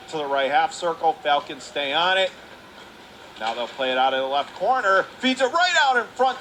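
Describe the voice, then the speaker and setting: A man calling live hockey play-by-play on radio in clipped running phrases with excited calls. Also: fairly high pitch. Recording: noisy live rink recording.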